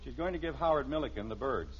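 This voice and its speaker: A man's voice: medium pitch, lively intonation, quick pace, close to an everyday voice. An actor playing an exasperated, dryly sarcastic middle-aged American father in a 1950s radio sitcom. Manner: dry sarcasm, clipped demanding questions. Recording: dull, noisy old radio recording.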